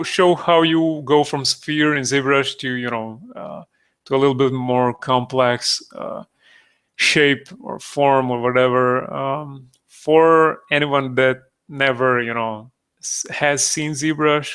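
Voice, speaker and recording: A man with a Croatian accent, a native Croatian speaker, giving a live sculpting tutorial in a halting way, full of fillers and restarts, on a close mic. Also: medium pitch, vocal fry, fairly bright tone, clean tone. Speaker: digital sculpting streamer. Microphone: close desktop mic, breathing audible.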